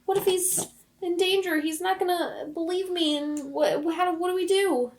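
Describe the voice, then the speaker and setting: A woman's voice: extremely high pitch, normal speed, slight background noise. A woman playing an anxious, frightened young girl in a tabletop game, pleading and stammering out questions. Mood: pleading, scared. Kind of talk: tabletop roleplay.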